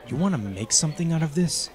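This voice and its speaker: A man's voice: a little high, expressive, fast talker, a little mellow, natural voice. A man playing a cocky, taunting school bully in an audio drama, smug and mocking. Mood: cool menace. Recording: outdoor crowd ambience behind voice.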